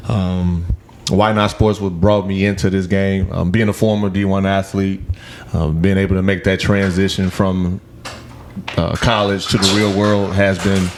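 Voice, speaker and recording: A man from Gary, Indiana, a podcast host appearing as a guest, who talks in a measured, earnest way with "uh" pauses. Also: sits low, flat delivery, a little hiss or hum. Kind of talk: casual chat.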